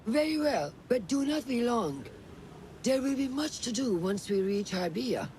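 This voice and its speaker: A man's voice: very high-pitched, very animated, unhurried, a little mellow, a little hiss or hum, heavily acted. A man playing a stern, businesslike assassin mentor in a video game, speaking formally and with authority. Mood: brisk, commanding. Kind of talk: video game cutscene acting.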